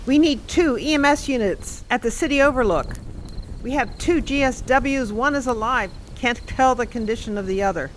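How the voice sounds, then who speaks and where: A woman's voice: a little high, normal speed, a little hiss or hum, close to an everyday voice, poor audio. A woman playing an urgent police officer radioing for paramedics in a radio play. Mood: urgent, official.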